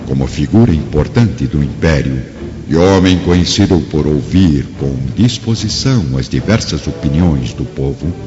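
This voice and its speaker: A man with a Brazilian Portuguese accent giving grave, dramatic scripted narration for a radio drama. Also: rumbling low. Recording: dull lo-fi, long reverb, music behind.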